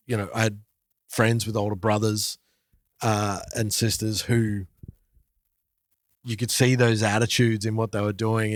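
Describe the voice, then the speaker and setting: A man in his forties chatting casually as a podcast host, in a halting way that repeats words as he thinks. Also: on the low side, no echo. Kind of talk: casual chat about music and culture.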